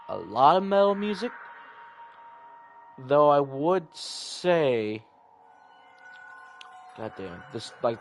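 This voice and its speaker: A man's voice: mid-range voice, slight background noise. A man reading a visual novel aloud in an informal, chatty playthrough style, rambling a little. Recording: music playing behind the voice. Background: background music.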